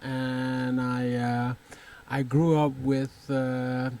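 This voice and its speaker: A man from the Netherlands with a Dutch accent, a guest artist telling his story in a halting way, repeating words. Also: medium pitch, flat delivery, mellow.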